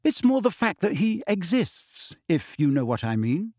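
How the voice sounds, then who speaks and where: A man's voice: high-pitched, sing-song, measured pace, darkish tone, no echo, studio quality, voice pushed up, full character voice. A man voicing an arrogant, showy teenage schoolboy bully with a brash, taunting manner in an audiobook story. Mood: smug.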